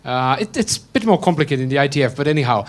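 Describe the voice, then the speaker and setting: A man moderating a conference panel, briskly calling on speakers and explaining the next step. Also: mid-range voice, animated. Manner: brisk, calls on speakers by name.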